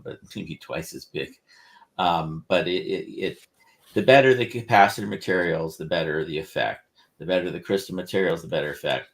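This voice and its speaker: A man explaining his inventions on a casual livestream, rambling and halting with many restarts. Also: low-pitched. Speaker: inventor presenting research.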